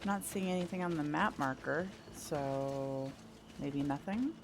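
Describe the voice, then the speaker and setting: A woman's voice: deep voice, unhurried, background noise. A woman doing casual playthrough commentary, thinking aloud and asking herself questions as she goes. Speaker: gameplay host.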